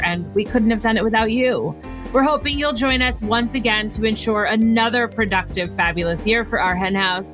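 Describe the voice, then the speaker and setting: A woman with a fairly high voice hosts a podcast over faint music. She reads a scripted appeal to listeners, sounding upbeat and earnest throughout. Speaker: podcast host.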